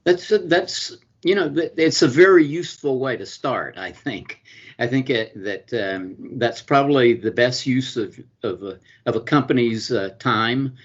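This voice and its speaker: A man's voice: medium pitch, a little slow. A middle-aged man with a Georgia Southern accent, answering interview questions as a consul and attorney, with many fillers and restarts. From US state of Georgia. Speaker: consul and business attorney, guest. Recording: dull lo-fi sound.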